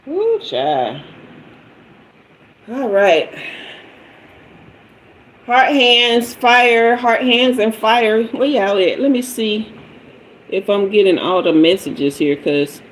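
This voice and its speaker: Slow-talking chatty woman in her fifties. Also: fairly high pitch, expressive, slight background noise, low-quality recording. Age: 50s. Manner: chatty and playful, greeting viewers and asking for emojis. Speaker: tarot reader hosting a livestream. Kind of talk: casual livestream chat with viewers.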